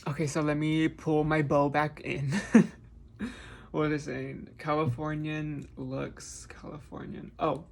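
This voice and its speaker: A man chatting casually while doing his makeup, rambling through his thoughts with a touch of vocal fry. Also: a little high, level tone, a little slow, a little mellow. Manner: rambling, musing train of thought. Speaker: makeup vlogger. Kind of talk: casual chat during a makeup tutorial.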